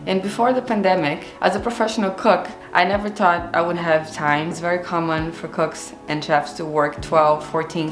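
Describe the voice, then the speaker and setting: A woman in her thirties from Brazil, with a Brazilian accent, telling her own story on her gardening and cooking vlog in an earnest, open and reflective way. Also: sits low. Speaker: gardening and cooking channel host. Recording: roomy echo with faint music.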